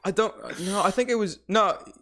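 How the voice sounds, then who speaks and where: A man's voice: high voice, normal speed, bright, forceful tone. A British man in a casual podcast chat, teasing and arguing his point.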